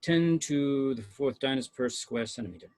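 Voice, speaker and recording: A man reading a measured science officer on a spaceship, reporting technical readings matter-of-factly at an amateur table read. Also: mid-range voice, a little mellow, lightly acted. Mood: clinical.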